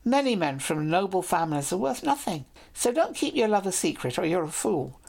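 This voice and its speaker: A woman's voice: fairly low pitch, big pitch swings, a little fast, vocal fry, darkish tone, full character voice. Woman voicing a clever noble lady. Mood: warm, persuasive. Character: young noble lady, proud, clever, teasing.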